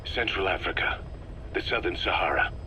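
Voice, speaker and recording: An actor playing a stern, sceptical military interrogator in a video game, speaking in a terse, guarded, deadpan way. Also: male voice, sits low, moderate pace. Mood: probing, measured. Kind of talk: dramatic video game voice acting. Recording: faint background noise, cassette tape interrogation.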